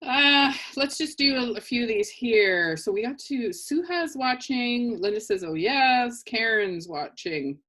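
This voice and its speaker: A middle-aged woman with a low voice, co-hosting a casual chat and telling stories with dry humor and frequent laughter. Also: lively intonation, unhurried, hardly any pauses, pushed and bright. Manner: chatty storyteller, dry humor, laughs often. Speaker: co-host. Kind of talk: casual chat on a live show.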